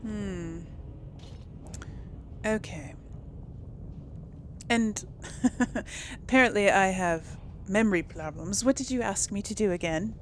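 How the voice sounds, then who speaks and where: A woman's voice: medium pitch, slow pace, pauses often, a little hiss or hum. A woman hosting a casual role-play gaming video, talking in an easygoing way to herself and her audience. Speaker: gaming host playing and commenting. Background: game music.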